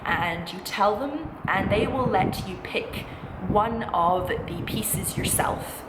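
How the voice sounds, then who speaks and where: A woman's voice: medium pitch, takes it slowly. Echoey, reassuring young woman vlogger. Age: 20s. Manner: informal, reassuring, encouraging. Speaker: vlogger, music college postgraduate singing student. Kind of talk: chatty advice vlog to camera. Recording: echoey room with clear background noise.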